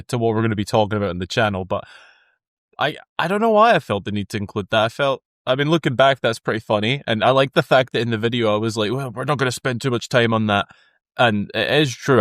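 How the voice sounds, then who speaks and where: A man's voice: lowish voice, lively intonation, quick pace, a bit bright, no room sound, studio quality. A Scottish man in his twenties hosting his own channel, chatting casually and teasing himself with amused asides. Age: 20s. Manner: self-mocking, amused, chatty with restarts. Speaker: YouTube host. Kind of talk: casual reaction commentary.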